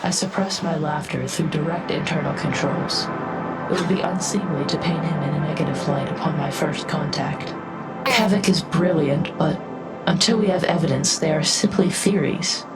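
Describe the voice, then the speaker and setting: A woman playing a polite, curious alien envoy who speaks in formal, measured phrases in a sci-fi fan dub. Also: low voice, close to an everyday voice, rough recording. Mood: restrained, formal. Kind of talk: fan dub of a sci-fi game, character dialogue and inner narration. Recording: music behind the voice.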